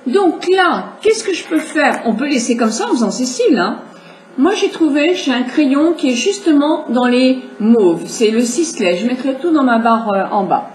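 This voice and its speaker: A middle-aged woman with a high, light voice, a little stuffy from a cold, talks viewers through her makeup in a chatty, explanatory way. She sounds roomy and echoey, with a faint hiss in the background. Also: expressive, moderate pace, a little mellow. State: has a cold. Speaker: makeup tutorial host. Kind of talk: casual makeup demonstration.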